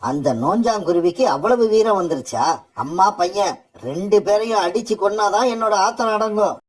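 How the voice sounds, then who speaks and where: A man's voice: high-pitched, normal speed, breathy edge. A man playing a cunning, murderous father bird in a children's cartoon story, with a sly, boastful manner. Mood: vengeful.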